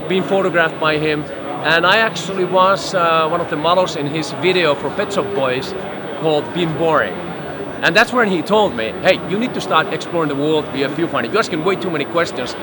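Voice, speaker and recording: A middle-aged man from Finland, a photographer telling stories in an interview on a busy trade show floor. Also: on the high side. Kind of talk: casual interview storytelling. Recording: loud crowd noise behind.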